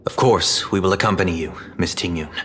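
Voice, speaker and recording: An actor voicing a composed, courteous gentleman in a video game, speaking in a formal, measured, polite way. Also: low-pitched, background noise, lightly acted. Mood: calm, courteous.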